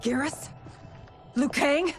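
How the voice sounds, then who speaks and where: A woman playing a bewildered, earnest warrior woman in a video game, speaking haltingly in disbelief. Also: high voice, soft background music. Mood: confused. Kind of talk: cinematic video game acting.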